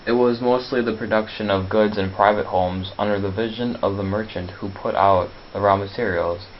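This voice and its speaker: A man narrates from a script in a fairly low, very even voice. He reads plainly and trips on words now and then. The recording is dull with faint hiss. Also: moderate pace. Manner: flat reading, stumbles over words.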